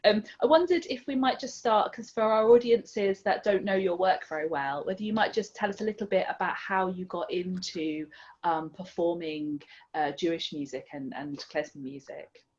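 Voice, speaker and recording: A woman's voice: lowish voice, moderate pace, a little mellow, highs cut off. A woman hosting a museum interview over a video call, speaking with many hesitant ums. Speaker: museum host.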